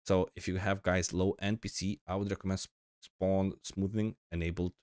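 A young man with a very deep voice talks casually over his gameplay, his voice a little crackly from being under the weather. He rambles with fillers and restarts, and the sound is dry and close to the mic. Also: flat delivery, moderate pace, darkish tone, clear, resonant tone, dry recording. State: sick, slightly crackly voice. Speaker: gaming channel host.

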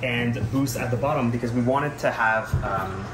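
A man's voice: mid-range voice, normal speed, echoey room, background noise. A man with a French accent, a native French speaker, explaining an aquascape in an easygoing, casual way inside an aquarium shop. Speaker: shop staff. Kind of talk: casual conversation.

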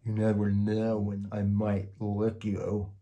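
A man's voice: fairly low pitch, completely flat delivery, mellow, highs cut off. A man voicing a big scruffy male storybook monster, slurping and whiny, dragging out his words as he tries to sound scary. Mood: goofy menace. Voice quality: slurping, slobbery. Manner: drawn-out, whining, trying to sound terrifying.

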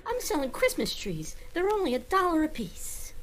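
A woman's voice: high voice, huge pitch swings, normal speed, slight background noise. A woman playing a cheeky young boy with glib sales patter in an old-time radio comedy. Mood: glib, plaintive. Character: cheeky young boy salesman, fast-talking little urchin. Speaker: actor in a radio play recreation.

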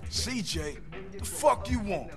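An actor playing a rude, domineering gangster in video game cutscenes. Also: male voice, sits high, sing-song, takes it slowly, over music, full character voice. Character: bossy arrogant male gangster dealer.

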